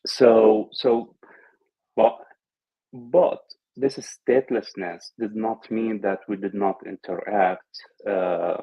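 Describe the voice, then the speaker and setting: A man who grew up in Syria, a guest in an interview, speaking haltingly with many restarts and repeated words. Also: lowish voice, fairly even intonation. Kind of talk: conversational interview. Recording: dull lo-fi audio.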